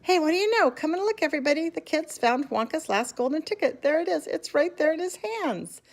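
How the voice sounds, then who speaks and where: A woman voicing a jolly, excitable fat male shopkeeper in a storybook reading. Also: on the low side, moderate pace. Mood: excited. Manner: loud, excited yelling.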